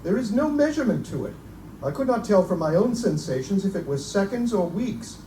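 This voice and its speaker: A man playing an eccentric, erudite Victorian gentleman, pompous and musing, in a live reading of gothic horror. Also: sits high, very animated, fairly quick. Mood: musing, fascinated. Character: eccentric, erudite Victorian Oxford gentleman obsessed with Egyptian relics. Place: live performance in a bookstore. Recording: echoey, distant live recording, faint noise.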